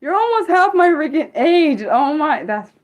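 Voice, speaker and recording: A woman in her thirties hosting an unscripted livestream, chatting casually with viewers and reading comments, rambling and correcting herself as her energy swings between excited and subdued. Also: high-pitched, measured pace, phone-quality sound. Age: 30s. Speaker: livestream host.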